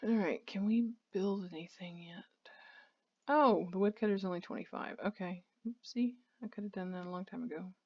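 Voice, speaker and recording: A woman's voice: mid-range voice, moderate pace, slightly rough, lo-fi sound. A woman giving casual let's play commentary on a video game, rambling and thinking aloud as she plays.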